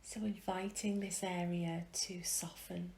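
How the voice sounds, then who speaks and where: A woman leading a guided meditation in a gentle, soothing way, with soft phrases that trail into a whisper and long pauses. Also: lowish voice, little pitch movement, a little hiss or hum.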